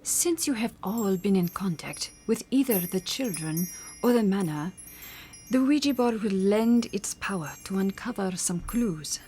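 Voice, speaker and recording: A woman's voice: mid-range voice, animated, measured pace, dark tone, faint music behind, natural voice. A woman playing a formal, commanding and mysterious spirit medium in old-time radio drama style. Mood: solemn, commanding. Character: solemn theatrical female spirit medium.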